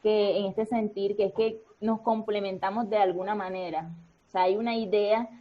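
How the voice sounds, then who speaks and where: A woman's voice: medium pitch, steady tone, normal speed. A woman chatting casually in an interview, hesitant and repeating words. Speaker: writer in an arts collective. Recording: dull lo-fi sound.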